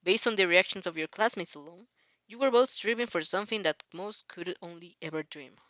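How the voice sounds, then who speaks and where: A woman's voice: lowish voice, a little fast, a bit bright, no room sound. A woman narrating a fan story informally, with a giggly manner and stumbles over the lines. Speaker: fanfic reader.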